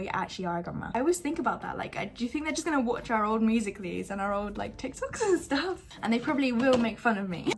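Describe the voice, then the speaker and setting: A teenage girl from Brazil with a croaky, hoarse voice from a cold, chatting casually through a get-ready-with-me vlog filmed on her phone at home. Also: mid-range voice. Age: teens. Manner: chatty, rambling with frequent "like". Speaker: vlogger. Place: home bedroom.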